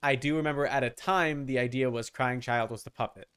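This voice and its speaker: A young adult man chatting casually as he streams a game, rambling and halting with repeated words. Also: mid-range voice, a bit bright, clean tone. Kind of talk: casual livestream chat while gaming.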